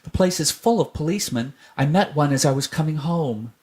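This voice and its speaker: A man's voice: fairly high pitch, lively intonation, a little fast, darkish tone, voice pushed up, lightly acted. A man voicing an anxious, fretful terrier shopkeeper in a children's storybook, complaining and worried. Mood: fretful, afraid. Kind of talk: children's storybook character reading.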